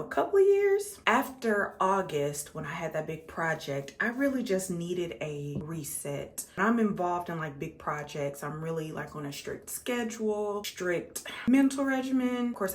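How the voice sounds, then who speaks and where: A 35-year-old American woman chatting casually and conversationally to her viewers as a makeup vlogger, repeating words for emphasis. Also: low-pitched. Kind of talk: casual chat to viewers while doing makeup.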